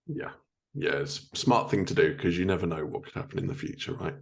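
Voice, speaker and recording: A man's voice: low-pitched, lively intonation, brisk. A man hosting a podcast, asking questions in a casual, chatty interview style.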